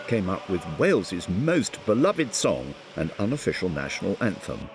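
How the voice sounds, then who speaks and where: A man's voice: low-pitched, very animated, normal speed, noisy background. A man narrating a documentary in a scripted, wry voice-over. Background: background music.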